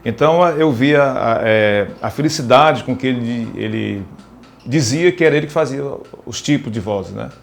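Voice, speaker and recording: Man, middle pitch, halting repetitive phrasing, personal recollection. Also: gravelly, slight background noise. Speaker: interviewee.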